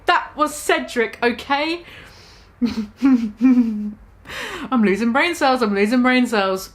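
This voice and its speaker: A woman's voice: sits high, fairly bright tone. A young woman giving a comic dramatic reading of a story, mock-dramatic and giggly, often breaking into laughter. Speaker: YouTuber.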